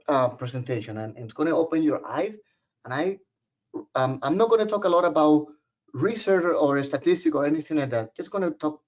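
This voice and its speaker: A man from Puerto Rico with a Puerto Rican Spanish accent, giving a webinar presentation in a joking, personal storytelling way. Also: fairly high pitch. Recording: muffled lo-fi webinar audio.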